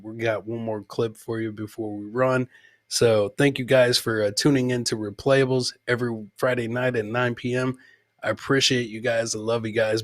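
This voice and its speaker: A male podcast host and comedian with a medium-pitched voice, recorded very dry. He talks in a loose, informal live-show style, reacting to clips and often saying a line twice. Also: a bit bright, dry recording. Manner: informal, repeats his own lines. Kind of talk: casual live reaction chat to video clips.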